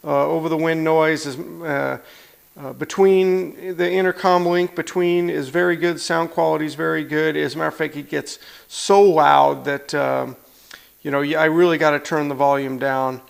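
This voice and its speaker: A man with a fairly high-pitched voice and a noticeable gravelly creak gives a casual hands-on review. He is plain-spoken and blunt, explaining things as he handles them. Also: little pitch movement, normal speed. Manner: plain-spoken, blunt, demonstrating while talking. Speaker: product reviewer, motorcycle channel host.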